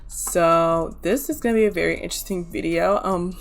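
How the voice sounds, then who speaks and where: Chatty woman hosting a reaction video. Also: on the low side. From Nigeria. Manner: chatty, many "like" fillers, quick asides. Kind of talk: casual reaction commentary.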